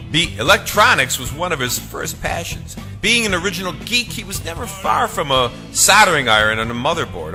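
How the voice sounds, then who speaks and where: A man reading his own novel as a theatrical, emphatic audiobook narrator, with dramatic pauses. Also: medium pitch, bright, forceful tone. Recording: long reverb, faint music behind.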